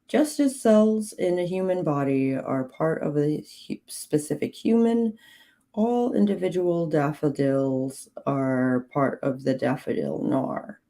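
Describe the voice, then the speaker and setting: A woman hosting a livestream, reading witchcraft guidance aloud in a measured way, her voice slightly hoarse. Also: sits low, warm tone. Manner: slow, measured reading with clipped, dry asides to chat. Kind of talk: reading aloud with chat asides.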